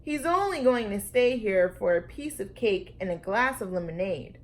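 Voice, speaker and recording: A woman's voice: mid-range voice, lively intonation, normal speed, close to an everyday voice. A woman voicing a spirited, headstrong little girl who is learning to be polite, in a storybook. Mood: bright invitation.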